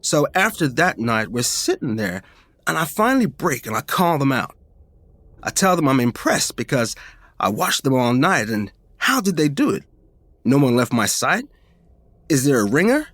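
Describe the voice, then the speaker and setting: A man reads a spooky personal tale for a podcast. His pitch sits in the middle for a man but moves around a great deal. The delivery is informal and expressive, like someone recounting it in conversation. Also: normal speed, dry recording. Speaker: podcast story reader. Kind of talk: first-person ghost story narration, conversational storytelling.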